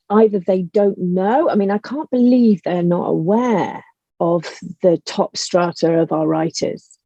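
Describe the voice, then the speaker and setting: A woman's voice: fairly low pitch, mellow. A woman speaking as an interview guest, giving her opinions emphatically in a conversational way. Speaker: podcast guest, audio drama writer and actor. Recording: dull, lo-fi, roomy call audio.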